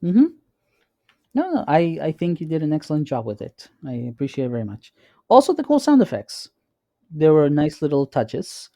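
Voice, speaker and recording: A man co-hosting a podcast, speaking casually in a measured, explanatory way as he analyses and asks questions. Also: on the high side, wildly swooping pitch, warm tone, no room sound, clean studio sound. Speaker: podcast co-host.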